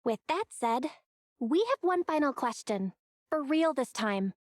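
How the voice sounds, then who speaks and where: Game heroine: plucky, clever young sister, high voice, close dry sound, measured confidence. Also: female voice, very animated, dry recording. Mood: probing, calm. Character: young sister, shrewd, composed, plucky underground operator. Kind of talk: English video game voice acting.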